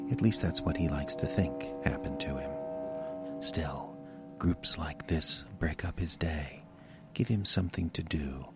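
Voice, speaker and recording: Male horror narrator; very deep, hushed and whispery, over a music bed. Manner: hushed, half-whispered delivery. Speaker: storyteller.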